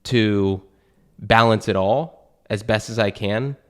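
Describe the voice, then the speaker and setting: A man's voice: fairly low pitch, takes it slowly, fairly bright tone. A young American man hosting a casual conversation, talking earnestly and thinking aloud with many fillers. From United States. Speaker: podcast host and new real estate agent. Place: Portugal.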